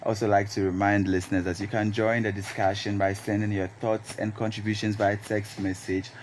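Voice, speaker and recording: A man with a Ghanaian accent hosts a radio discussion in a low, deep voice. His delivery is measured and announcer-like, with faint noise behind him in the studio. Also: little pitch movement. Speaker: radio host.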